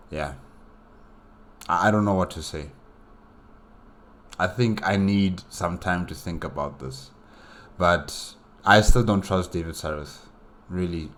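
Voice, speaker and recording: A man's voice: deep voice, fairly bright tone, slight background noise. A man giving casual solo reaction commentary in a hesitant, musing way, often repeating himself. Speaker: reaction video host.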